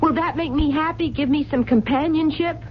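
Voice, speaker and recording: A woman's voice: high voice, brisk, natural voice. An actress in a radio drama playing a lonely, romantic, stubborn working woman with plain colloquial speech. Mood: stubborn, pleading. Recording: dull, noisy 1970s radio recording.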